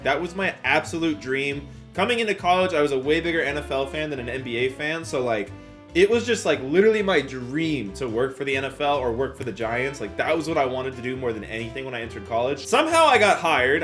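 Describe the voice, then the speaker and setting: A young man with an American accent and a slightly high voice tells a story in the manner of a casual YouTuber. His delivery is animated and informal, full of slang and self-mockery. Faint music plays behind him. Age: young adult. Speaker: YouTuber telling a personal story.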